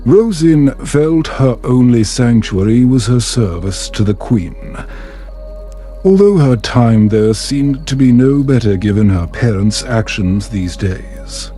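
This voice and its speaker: Slow, mellow man narrating a bedtime story. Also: mid-range voice, lively intonation, faint music behind. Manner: soft, soothing, measured delivery.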